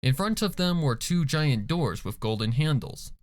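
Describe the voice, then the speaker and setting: A man's voice: a little high, animated, normal speed. A man reading a scripted story as a dramatic narrator. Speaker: fan fiction reader and narrator.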